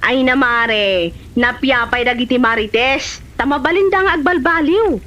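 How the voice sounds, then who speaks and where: Actress as a shrill, nagging Ilocano best friend. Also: female voice, a little slow, sharp tone. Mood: scolding. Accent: Filipino, Ilocano. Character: nagging, exasperated female friend urging another to change. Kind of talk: Ilocano comedy drama acting. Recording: slightly muffled audio.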